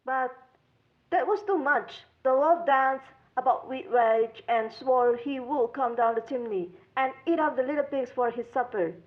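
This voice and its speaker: A woman's voice: sits high, measured pace. A woman storyteller narrating a children's storybook tale, on a dull recording. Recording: dull lo-fi sound.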